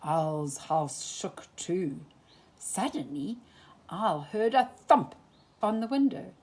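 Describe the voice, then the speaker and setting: A woman reading a children's picture book aloud for storytime, with an expressive, animated delivery. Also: fairly low pitch, takes it slowly, husky. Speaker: storytime reader.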